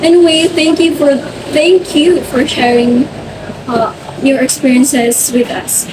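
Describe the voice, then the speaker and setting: A woman's voice: high-pitched, flat delivery, slow. A woman hosting a school talk show, reading polite scripted links between segments. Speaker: student talk show host. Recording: distant mic with loud background noise.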